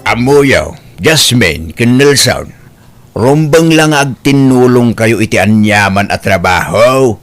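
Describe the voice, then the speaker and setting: A man playing a hardworking, put-upon elderly father-in-law, gently reproachful, in an audio drama with an Ilocano accent. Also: mid-range voice, animated, slow pace, faint music behind.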